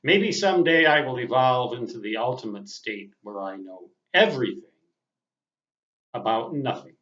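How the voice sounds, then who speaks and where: An older man, a ham radio hobbyist, giving an unscripted monologue to viewers in deliberate, wordy, self-deprecating phrases. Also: mid-range voice, animated, a bit bright. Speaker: amateur radio operator and vlogger. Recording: dull, roomy, echoing sound.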